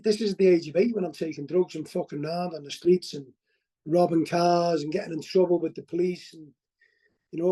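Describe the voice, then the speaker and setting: A man's voice: high voice, mellow, poor audio. A middle-aged man with a Liverpool accent giving a candid, rambling testimony at a recovery meeting over a video call, often asking "you know what I mean?" and restarting his words. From Liverpool, England.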